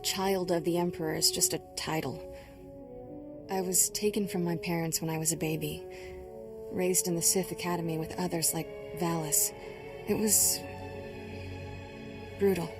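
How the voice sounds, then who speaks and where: An actress playing a spirited, guarded young Jedi apprentice in a video game, speaking bluntly with a wry edge. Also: female voice, on the low side, fairly even intonation, normal speed, long pauses, over music, lightly acted. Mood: wry confession. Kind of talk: dramatic video game acting.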